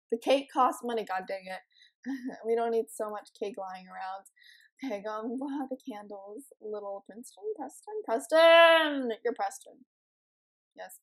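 Teen girl let's player, raspy morning voice, kinda high, rambly with lots of ums. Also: moderate pace, low-quality recording. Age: teens. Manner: chatty, giggly, rambling with many ums. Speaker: let's play host. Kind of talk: casual gameplay commentary.

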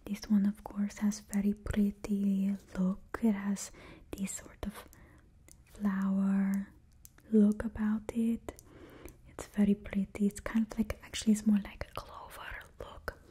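A woman's voice: medium pitch, monotone, measured pace, dark tone, slightly rough. A young woman voicing a fussy female stylist to a super villain in a put-on Russian accent, whispering close to the mic in a coaxing, sales-like way and dropping articles. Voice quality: broken grammar, drops articles. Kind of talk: ASMR roleplay whispering. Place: small indoor studio before a backdrop. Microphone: close sensitive mic, face near camera.

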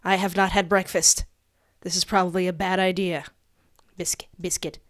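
A woman hosting a gaming video in a playful, expressive, jokey and theatrical way, close on the mic. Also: lowish voice, moderate pace. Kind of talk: playful let's-play commentary with read-aloud game text. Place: small home room. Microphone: close microphone on boom arm.

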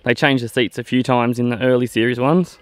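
A middle-aged man giving an unhurried, plain-spoken vlog walkaround, explaining things casually outdoors. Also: medium pitch, fairly even intonation, smooth, no room sound. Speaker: vlogger, owner of a vintage off-road vehicle. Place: outdoors in open grassy bushland. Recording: windy outdoor noise.

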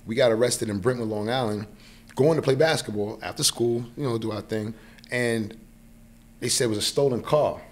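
A man's voice: medium pitch, moderate pace. A man in his forties with a New York accent, telling a personal story casually as a podcast guest, often checking in with the listener. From New York, United States. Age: 40s. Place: small indoor podcast studio. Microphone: close podcast mic on boom arm.

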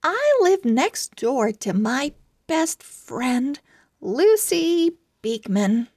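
A woman's voice: high voice, sing-song, very slow, darkish tone, no room sound, studio quality, heavily acted. A woman reading as an enthusiastic young girl owl from a storybook diary, with exaggerated expressiveness. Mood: excited. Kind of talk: children's storybook read-aloud.

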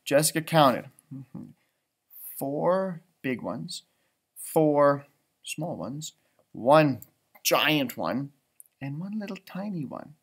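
American guy doing a kids read-aloud, really lively swoopy delivery, chats about the pictures. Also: on the high side, very slow, gravelly, long pauses, slightly rough. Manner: animated, commenting on pictures. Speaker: storybook reader for schoolchildren. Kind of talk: expressive children's read-aloud with chatty asides. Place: indoors, small library room.

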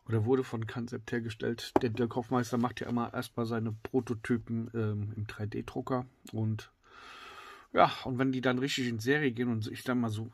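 A middle-aged man with a slightly congested voice, reviewing a knife in a casual, filler-heavy way that often drops to excited whispers. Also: mid-range voice, a little hiss or hum. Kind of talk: casual tabletop product review. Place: indoors at a wooden table.

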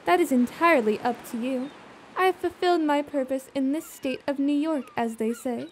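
A woman's voice: high-pitched, normal speed, a little hiss or hum, close to an everyday voice. A woman playing a smug, sly genie in an audio drama, poised, formal and teasing. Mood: serene, teasing. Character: smug, sly female genie, calm and worldly. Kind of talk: audio theater acting.

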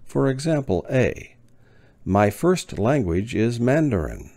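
Brief: deep gravelly male teacher, slow and deliberate, lively pitch. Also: no echo, studio quality. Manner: spaced-out words with long pauses, clear enunciation. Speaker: ESL teacher. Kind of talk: slow, deliberate lesson reading.

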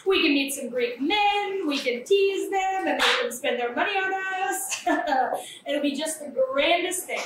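A woman's voice: high voice, measured pace. A young woman playing a glib, manipulative, callous woman with a morbid streak in a theatrical staged reading. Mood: gleeful, scheming. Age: young adult. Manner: animated, flippant, theatrical. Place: small café, live staged reading. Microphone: far camera. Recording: echoey, distant camera mic, faint noise.

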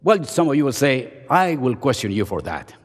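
A man's voice: medium pitch, sing-song, normal speed. An older man giving a playful, self-mocking chapel sermon from a podium. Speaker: seminary professor and chapel speaker. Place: large seminary chapel auditorium. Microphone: podium microphone. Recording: long hall reverb.

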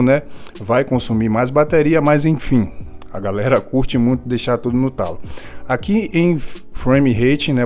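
Brazilian guy doing a casual tech walkthrough, medium pitch, explains stuff informally, bit of music in the background. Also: a little mellow. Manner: informal, explanatory, often adding tag questions. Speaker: tech reviewer. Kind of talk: casual product walkthrough to camera.

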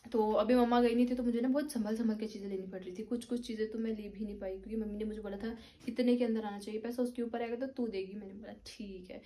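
A woman's voice: fairly high pitch, mellow. A young woman with a sore throat and a cold, chatting casually to her phone camera in a small bedroom at home, rambling and mixing in English words. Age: young adult. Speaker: vlogger. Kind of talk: casual vlog chat to camera. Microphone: phone camera at arm's length.

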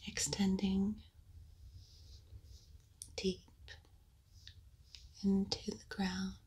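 A woman whispers a guided meditation, unhurried and soothing, with long pauses between short phrases. Her delivery stays almost perfectly level with no rise or fall. A faint hiss of noise sits under the recording. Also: medium pitch, monotone, a little mellow. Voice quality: unvoiced whisper.

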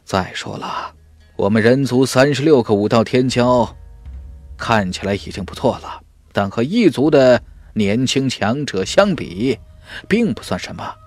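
A grave male warrior from a fantasy audiobook, voiced by a man narrating, sighing as he explains. The delivery is slow and widely inflected, dry, over faint music. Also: medium pitch, lively intonation, dry recording. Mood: grave warning. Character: male elder warrior, gravely lamenting humanity's decline.

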